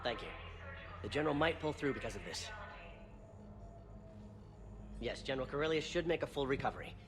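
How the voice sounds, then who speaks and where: An actor playing an earnest, hard-pressed doctor in a video game, speaking with urgency. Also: male voice, mid-range voice, very fast, lightly acted. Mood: relieved. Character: earnest, hard-pressed male doctor pleading for his patient. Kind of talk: video game cutscene dialogue.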